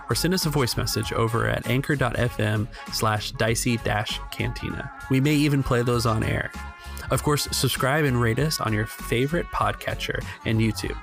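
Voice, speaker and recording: Low-key male game master hosting a podcast. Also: creaky. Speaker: game master and show host.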